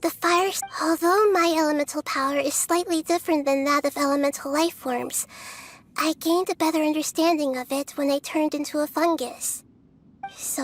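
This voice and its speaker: Childlike deity for a game, played by a woman: very high and soft, gentle, measured, sincere, quietly composed. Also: close to an everyday voice. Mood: gentle resolve. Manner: measured, formal, earnest phrasing. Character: small childlike goddess, gentle, wise, earnest and composed. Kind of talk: animated game voice acting.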